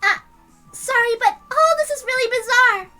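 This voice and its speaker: A woman's voice: very high voice, moderate pace, sharp tone, pitched above the performer's own voice. A woman voicing a plucky, reassuring teenage girl from an anime visual novel, sweet and resolute. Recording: music clearly behind the voice.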